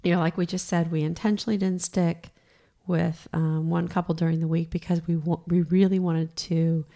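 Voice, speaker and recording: A raspy middle-aged woman with a low, gravelly voice, co-hosting a podcast. She chats frankly and laughs easily, and her voice sounds slightly hoarse. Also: little pitch movement, normal speed, dark tone. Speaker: podcast co-host. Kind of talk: casual chat.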